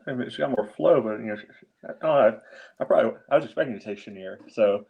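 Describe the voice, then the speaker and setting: A man's voice: mid-range voice, fast, vocal fry, husky, phone-quality sound. A man co-hosting a casual sports chat, rambling a little with hesitant, wry understatement. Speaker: podcast co-host.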